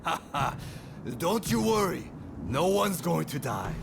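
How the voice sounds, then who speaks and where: Gravelly, cocky samurai captain. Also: male voice, a little high, sing-song, measured pace, background noise, full character voice. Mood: jovial, cocky. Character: brash, jovial, cocky male samurai captain. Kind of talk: dramatic video game acting. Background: game soundtrack music.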